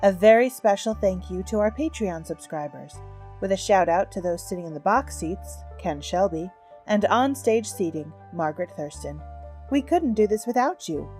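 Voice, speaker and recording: A woman announcing the end credits of an audio drama in a friendly, even scripted read. Her voice is mellow and warm at a middle pitch, with soft music playing quietly underneath. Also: moderate pace.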